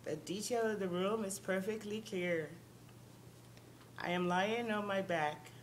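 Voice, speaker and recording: Woman, reflective memoir reading, calm, faint rumble under it. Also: measured pace. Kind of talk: reading a memoir passage aloud.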